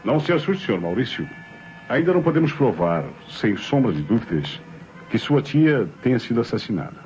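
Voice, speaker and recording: A Brazilian actor playing a methodical, suspicious police inspector in a radio drama, speaking formally and deliberately. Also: male voice, mid-range voice, big pitch swings, a little mellow, lightly acted. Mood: grave, measured. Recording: dull old radio recording, music behind.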